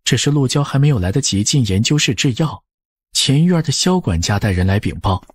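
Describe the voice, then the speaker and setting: A man's voice: mid-range voice, lively intonation, brisk, husky, no room sound. A man narrating a historical novel as a scripted audiobook.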